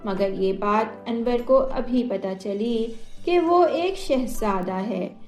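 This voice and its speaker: Female storyteller for scripted moral tales. Slow pace, fairly high voice, roomy echo over light music. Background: soft music.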